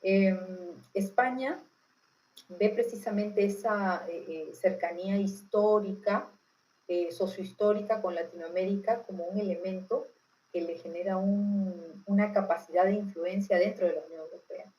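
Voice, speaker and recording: A woman's voice: mid-range voice, steady tone, unhurried, warm tone, rough recording. A woman from Peru with a Peruvian accent, explaining things informally as an interviewed expert, in a didactic way with frequent tag questions. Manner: didactic, often checking understanding with tag questions. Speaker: political expert being interviewed.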